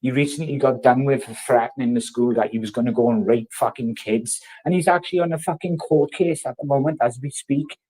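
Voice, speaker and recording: A man's voice: mid-range voice, brisk, few pauses, slightly rough, poor audio. A man in his thirties, from South Wales, ranting angrily to camera with constant swearing. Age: 30s. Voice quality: swears heavily, loud and confrontational. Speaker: vlogger. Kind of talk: angry rant to camera.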